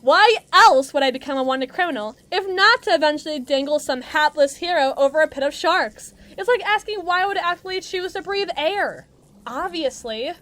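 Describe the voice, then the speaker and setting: Woman acting a brash, high-pitched villain henchwoman. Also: lively intonation, moderate pace, a bit bright. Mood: gleefully menacing. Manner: sarcastic, theatrical, mocking, slangy tough talk. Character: brash, mean female villain henchwoman, muscle for evil agency. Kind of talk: acted monologue roleplay addressed to listener.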